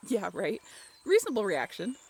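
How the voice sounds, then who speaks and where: A woman playing an excitable young woman in an audio roleplay, telling a story casually with conversational asides. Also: medium pitch, normal speed, slightly rough, exaggerated voice. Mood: animated storytelling. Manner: informal, giggly, conversational asides with rhetorical questions.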